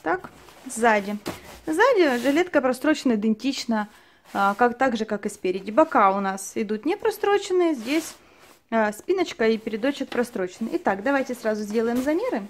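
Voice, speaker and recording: Woman host, high voice, chatty and detailed home product review. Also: big pitch swings, moderate pace. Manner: chatty, thorough, many fillers and diminutives. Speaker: channel host reviewing clothing. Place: indoors at a table, filming only her hands.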